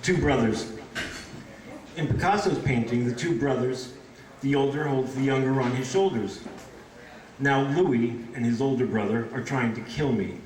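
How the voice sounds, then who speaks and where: A man's voice: medium pitch, moderate pace, darkish tone. A man reading poems aloud at a live event, with informal asides between them. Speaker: poetry reader. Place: indoor bookshop event in front of audience. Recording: echoey, noisy room, distant microphone.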